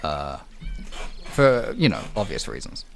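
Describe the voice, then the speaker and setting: A man's voice: a bass voice, very animated, unhurried, vocal fry, soft background music. A man hosting a livestream, chatting casually and swearing freely. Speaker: livestream host.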